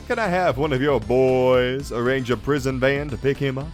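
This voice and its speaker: A man voicing a flamboyant, theatrical police official in a game fandub, drawling in a smoothly mocking, playful way. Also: mid-range voice, normal speed, soft background music, natural voice. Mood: suave, theatrical.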